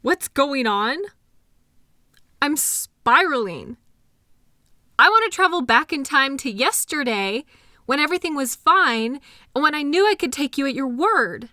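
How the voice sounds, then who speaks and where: Woman acting wounded girlfriend, bright high voice, emotional, accusatory, halting delivery, intimate audio roleplay. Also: expressive, dry recording, studio quality, full character voice. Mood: spiraling, accusing. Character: hurt, anxious girlfriend with trust issues, arguing with partner.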